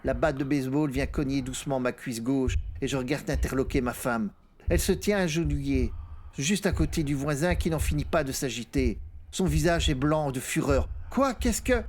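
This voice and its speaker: A man's voice: medium pitch, normal speed, a little mellow. A man narrating an audiobook, telling a first-person horror story in a dramatic style.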